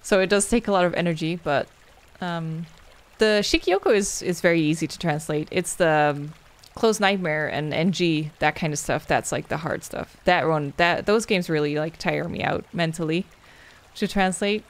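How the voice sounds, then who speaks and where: A woman's voice: deep voice, moderate pace. A young adult woman, a game streamer wrapping up with casual, rambling chat as she thanks viewers by name and answers their questions. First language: Dutch.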